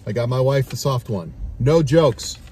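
Loud excitable man in a parked car. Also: mid-range voice, moderate pace, a little hiss or hum. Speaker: radio host. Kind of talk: casual food review chat.

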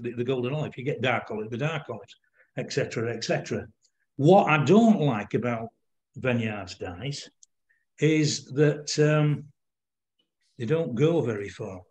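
A man's voice: medium pitch, darkish tone. An older man with a Yorkshire accent, giving an informal demonstration on a video call, explaining things in a hesitant way. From Yorkshire, England. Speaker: fly-tying demonstrator. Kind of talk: informal demonstration with questions and answers.

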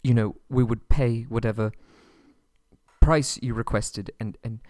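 A man's voice: mid-range voice, fairly even intonation, unhurried, a little mellow, no room sound, clean studio sound, natural voice. A man playing a nervous, submissive, polite young prince held captive, stammering and pleading in an ASMR roleplay audio drama. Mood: bewildered, anxious.

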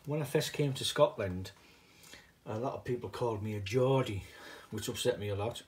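A man's voice: lowish voice, moderate pace. A middle-aged man with a northeast English, Teesside accent, talking casually and rambling a little as he hosts an unscripted hobby vlog. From northeast England (Middlesbrough). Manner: rambling, digressive. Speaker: video host, hobbyist coin stacker.

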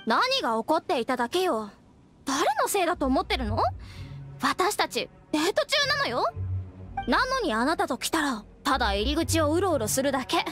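An actress playing an exasperated, strong-willed young woman in an anime game, with a sharp, scolding manner. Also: high voice, very animated, moderate pace, faint music behind. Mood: angry. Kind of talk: anime game character acting.

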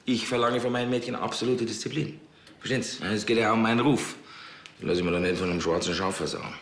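An actor playing a strict, self-important au pair agency boss in a TV crime drama, speaking formally. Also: male voice, fairly low pitch, steady tone, quick pace, reverberant, a little hiss or hum, lightly acted. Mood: stern.

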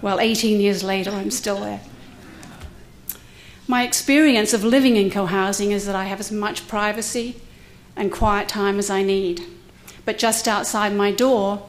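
New woman giving a candid talk. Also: medium pitch. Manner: candid, self-deprecating, light humor. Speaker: conference panelist, cohousing resident. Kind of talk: prepared talk at a conference. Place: lecture room at a university conference.

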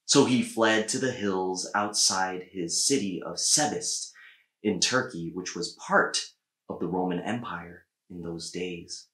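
A man in his thirties reading a children's story aloud with measured, deliberate phrasing and pauses. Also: lowish voice. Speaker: storybook reader. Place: small indoor room with bookshelves. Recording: echoey room.

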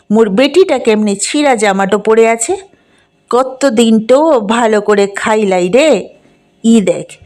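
Woman doing a fierce grief-crazed village mother. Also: on the high side. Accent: rural western Bengal dialect. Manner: shouting, desperate.

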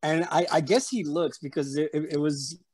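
A man with a slightly high voice and a San Antonio accent that carries a light Spanish tinge. He rambles through a casual story full of fillers and restarts. His voice comes through a home webcam on a video call. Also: normal speed, darkish tone, breathy edge. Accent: San Antonio Texan with a slight Spanish tinge. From San Antonio, Texas. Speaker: interview guest. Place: room at home.

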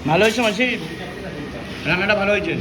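Fast, high-pitched man vlogging. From West Bengal, India. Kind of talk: casual vlog chat with family. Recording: noisy on-location vlog audio.

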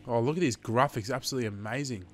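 A man's voice: medium pitch, racing, soft background music. A man in his twenties with an Australian accent gives casual, chatty, sweary gameplay commentary into a headset, a little sniffly from hay fever. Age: 20s. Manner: sweary, chatty, addressing viewers as guys. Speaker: gaming video host.

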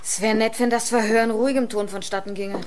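An actress playing a brisk, composed, pointed office clerk in a German radio crime drama. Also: female voice, on the high side, fairly even intonation, close to an everyday voice. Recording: clear background noise.

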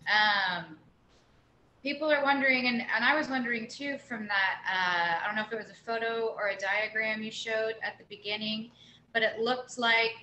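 A woman's voice: fairly high pitch, fairly even intonation, sharp tone, reverberant, dull audio, a little hiss or hum. A woman moderating an online talk, asking the guest speaker questions and giving brief acknowledgments on a video call. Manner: slow, inquisitive, brief acknowledgments.

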